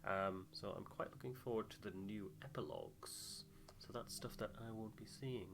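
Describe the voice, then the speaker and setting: A man's voice: lowish voice, normal speed, vocal fry. A man hosting a voiced game playthrough, moving between casual chat and reading narration aloud.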